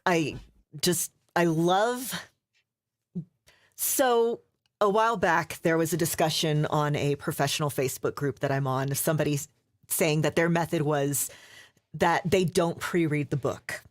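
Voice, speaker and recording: A woman's voice: low voice, unhurried, dry recording, studio quality. A woman audiobook narrator chatting thoughtfully in a casual panel, with small hesitations.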